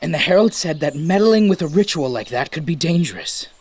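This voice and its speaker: A wary, bitter young blood mage. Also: male voice, fairly high pitch, animated, fairly quick, husky, dry recording. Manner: guarded, bitter.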